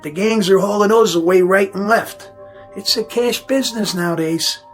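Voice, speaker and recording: An actor playing a tired, decent New repairman in a radio drama, talking in a halting, colloquial, apologetic way. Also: sits high, soft background music, close to an everyday voice. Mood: apologetic, weary.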